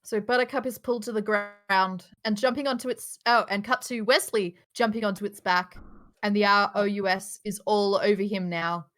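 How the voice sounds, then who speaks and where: A woman's voice: mid-range voice, fairly even intonation, moderate pace, a bit bright. A woman reading out screenplay stage directions at a casual live table read, with occasional offhand asides.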